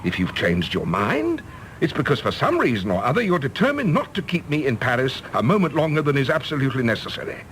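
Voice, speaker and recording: Proud, embittered English diplomat, swooping pitch. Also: male voice, huge pitch swings, fast, a bit bright, a little hiss or hum. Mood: indignant. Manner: clipped, formal, argumentative. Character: proud, embittered male career diplomat, stiff and indignant. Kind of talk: period stage-play radio drama acting.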